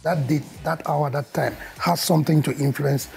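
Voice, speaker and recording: A man's voice: a little high, fairly even intonation, normal speed, creaky, darkish tone. A man on a talk-show panel, explaining his view in a rambling way, often saying "you know". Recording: faint background music.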